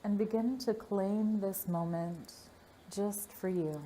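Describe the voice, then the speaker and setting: A woman leading a guided meditation in a gentle, soothing manner, leaving long pauses between phrases. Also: mid-range voice, steady tone, a little hiss or hum, poor audio.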